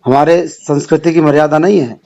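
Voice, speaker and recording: A man's voice: on the high side, fairly even intonation, brisk, dark tone. A man in a phone conversation, speaking formally and admonishingly as an activist, repeating phrases for emphasis. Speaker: religious organisation activist. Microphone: phone call. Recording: phone call recording with clear noise.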